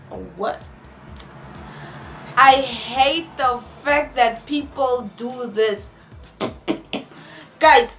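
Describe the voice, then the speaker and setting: A woman in her twenties with a Namibian accent, chatting casually to viewers in a vlog with animated slangy asides. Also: on the high side. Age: 20s. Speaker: YouTuber.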